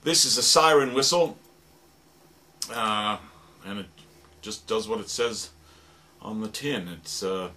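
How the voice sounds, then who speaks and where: A man giving an informal, halting and rambling demonstration of sound-effect instruments, as a radio drama producer. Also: fairly low pitch, sharp tone. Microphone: stereo microphone. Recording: noisy recording with stereo microphone.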